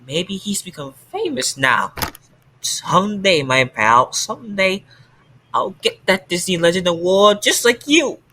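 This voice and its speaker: A text-to-speech man plays an eager fan who longs to voice a beloved cartoon bird. The voice sounds bright and a little tense. Every phrase comes out stiff and machine-even. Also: high voice, unhurried, a little hiss or hum, exaggerated voice. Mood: excited. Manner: stiff synthetic delivery. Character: hopeful male fan who dreams of voicing a seagull.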